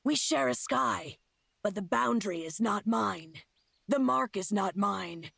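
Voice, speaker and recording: Husky, creaky feral huntress. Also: female voice, medium pitch, expressive, fairly bright tone, no room sound, studio quality, heavily acted. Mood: solemn, cryptic. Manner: slow, cryptic, solemn speech. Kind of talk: fantasy game character acting.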